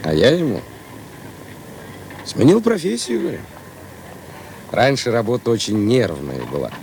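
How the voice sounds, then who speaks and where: An actor playing a chatty, jaunty working-class husband in a radio play, colloquial and theatrical. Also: male voice, medium pitch, huge pitch swings, a little slow, creaky, a little mellow, a little hiss or hum, full character voice, rough recording. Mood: jaunty, affectionate.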